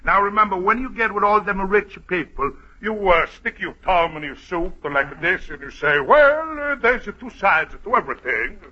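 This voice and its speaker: A cocky Italian trickster. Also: male voice, high-pitched, lively intonation, normal speed, few pauses, sharp tone, slightly rough, exaggerated voice. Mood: scheming. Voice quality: broken English, chuckling. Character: scheming Italian immigrant friend, cocky and joking. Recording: lo-fi old radio recording.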